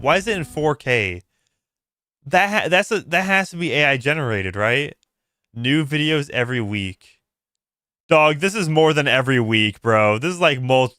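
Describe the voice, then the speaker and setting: A man hosting a casual livestream, reacting aloud in a halting way, repeating words and breaking off mid-sentence. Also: mid-range voice, bright, forceful tone, clear, resonant tone, no room sound, studio quality. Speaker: livestream host. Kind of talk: casual reaction stream commentary.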